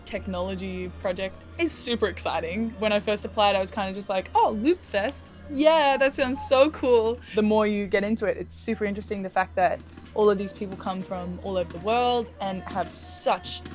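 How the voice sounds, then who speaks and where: A woman's voice: mid-range voice, moderate pace. A woman singer, chatting enthusiastically with many fillers in an interview. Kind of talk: casual interview. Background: faint music.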